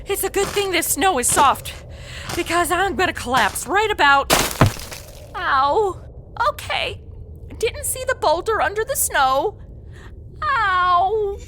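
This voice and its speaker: A woman's voice: extremely high pitch, animated, takes it slowly, pauses often, bright, forceful tone, slight background noise, exaggerated voice. A woman playing a sarcastic modern woman in a comedy audio drama, snarky, exasperated and colloquial. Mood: strained. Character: sarcastic modern woman stuck inside an old radio adventure.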